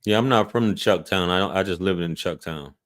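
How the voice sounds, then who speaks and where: A man with a light Southern American accent hosts a live stream and answers viewer questions in a laid-back way. His voice sits very low and deep. He talks in a loose, easygoing manner. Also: fairly quick, fairly bright tone. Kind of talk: casual live Q&A chat.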